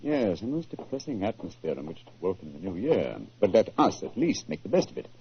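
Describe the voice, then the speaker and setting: An actor playing a composed, precise, commanding British gentleman detective in 1940s radio style. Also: fairly low pitch, very animated, fairly quick, slight background noise. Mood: considerate. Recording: muffled old-time radio recording.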